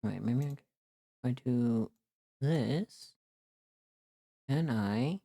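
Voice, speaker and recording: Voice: medium pitch, animated, drawn-out words, gravelly, dark tone. A streamer chatting casually and thinking aloud in a rambling way while working through tasks on a livestream. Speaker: streamer recording a voice pack.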